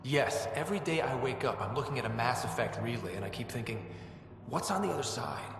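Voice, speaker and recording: An actor playing an eager young explorer's son in a video game, full of wonder. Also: male voice, mid-range voice, animated, a little fast, fairly bright tone. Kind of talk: video game cutscene acting. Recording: very echoey hall, faint background noise.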